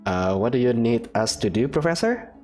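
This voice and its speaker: A woman voicing a quiet, polite young male student in a fan-dubbed visual novel, reserved and a little hesitant. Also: extremely low pitch, expressive, normal speed, faint music behind, voice pushed down. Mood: earnest.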